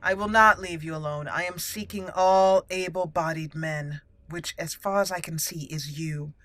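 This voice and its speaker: A woman voicing a stern, suspicious elf mage in a video game roleplay, firm and measured as she interrogates. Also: on the low side, steady tone, a bit bright, dry recording, lightly acted. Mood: stern, probing.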